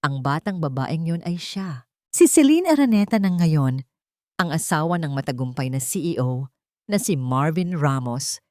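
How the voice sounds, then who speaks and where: Female storyteller, low register, dramatic scripted delivery, close and very dry sound. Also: animated, normal speed, darkish tone, dry recording.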